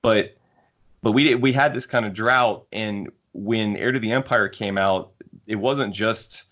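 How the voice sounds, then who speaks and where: A man's voice: lowish voice, a little slow, fairly bright tone. A man hosting a casual panel discussion about books, talking in an emphatic, opinionated way. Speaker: podcast host. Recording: muffled lo-fi audio.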